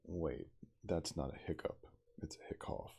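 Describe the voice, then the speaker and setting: A man reading a story aloud in a hushed ASMR style, with casual asides. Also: rumbling low, expressive, measured pace, creaky, husky. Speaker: reader, livestreamer.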